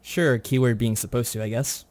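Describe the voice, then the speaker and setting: A man's voice: mid-range voice, steady tone, normal speed, a little mellow. A man chatting casually as a podcast co-host and quiz contestant, giving brief, dry, sarcastic replies. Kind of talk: casual chat.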